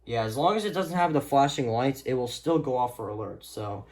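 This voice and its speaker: A man talking casually and off the cuff as a vlogger showing his collection at home, in a low-key, offhand way. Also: lively intonation, a little fast. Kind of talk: casual unscripted show-and-tell. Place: indoors, rooms at home.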